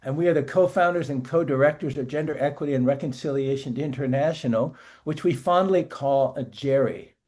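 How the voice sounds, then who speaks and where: A man quickly introduces himself and his organization in a formal way over a video call. His voice is a little high for a man. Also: a little mellow. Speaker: co-founder and co-host.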